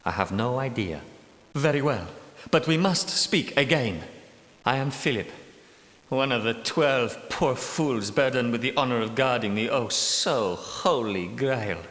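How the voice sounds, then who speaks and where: A man voicing a bitter, secretive knight guardian who is centuries old, speaking in a measured, world-weary way in a game's voice lines. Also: mid-range voice, fairly bright tone. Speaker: game character. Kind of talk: game character voice lines. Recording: echoey reverberant room.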